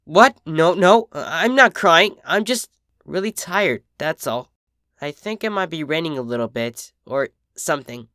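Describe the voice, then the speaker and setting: A dejected young cartoon pegasus filly acted out by a story narrator speaks in a hesitant, defensive mumble. The pitch moves around a lot, and the sound is dry and close. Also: expressive, unhurried, sharp tone, no echo. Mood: flustered, tearful.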